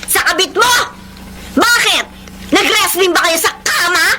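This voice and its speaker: An actress playing a suspicious, hurt young wife in a Filipino radio drama, emotional and accusing, with a Filipino accent. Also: female voice, very high voice, measured pace. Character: suspicious, hurt young wife confronting her cheating husband. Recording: noisy radio drama with background ambience.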